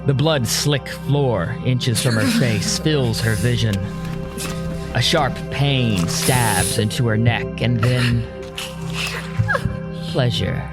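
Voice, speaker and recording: A man narrates a dark audio drama as storyteller. His delivery is slow, ominous and deliberate at a medium pitch. Prominent music runs beneath his voice. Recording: loud music under the voice.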